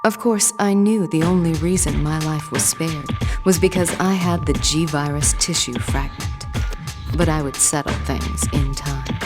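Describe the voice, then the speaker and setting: An actress playing a cool, shrewd spy in a video game, composed and knowing. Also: female voice, deep voice, a little slow. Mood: cool, reflective. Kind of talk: dramatic video game cutscene acting and narration. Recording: music behind the voice.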